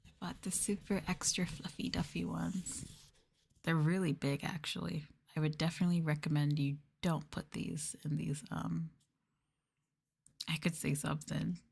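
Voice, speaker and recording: A woman doing a live ASMR stream, talking softly and breathily to viewers in a gentle, subdued way, often dropping into a whisper close to the mic. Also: low-pitched, flat delivery, moderate pace, vocal fry, husky. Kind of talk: ASMR soft talking, casual chat with viewers. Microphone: close ASMR microphone.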